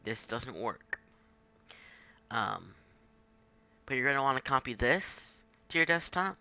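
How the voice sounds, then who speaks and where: A man giving an informal, halting software tutorial, thinking aloud as he goes. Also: medium pitch, very animated, gravelly, clear, resonant tone, highs cut off. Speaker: tutorial presenter. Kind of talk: casual screen-capture software tutorial.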